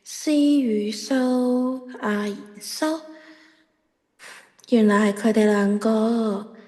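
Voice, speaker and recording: A woman's voice: fairly high pitch, steady tone, drawn-out words, dark tone. A woman playing a cheeky, defiant teenage schoolgirl in an audio drama, with a whiny, dramatic delivery. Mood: smug, pondering. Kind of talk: Cantonese radio drama acting.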